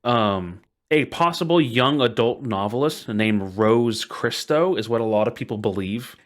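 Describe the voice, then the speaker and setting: A man's voice: mid-range voice, lively intonation, unhurried. A man co-hosting a podcast, casually recounting internet lore in an amused, hyperbolic way. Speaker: podcast co-host.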